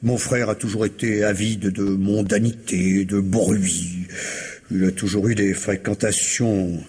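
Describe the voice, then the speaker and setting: Husky, fastidious older retiree. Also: male voice, lowish voice, flat delivery, a little mellow. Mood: grave, reserved. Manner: hesitant, formal, precise. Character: fastidious retired civil servant of sixty-one, reserved, courteous. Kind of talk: French radio mystery play acting.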